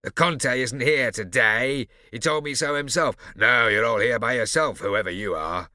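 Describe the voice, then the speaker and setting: A man's voice: mid-range voice, normal speed, bright, forceful tone, dry recording, clean studio sound, pitched above the performer's own voice. Man doing a blustering red-bearded bully. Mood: sneering. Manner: sneering, roaring, blustering. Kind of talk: audiobook character voice.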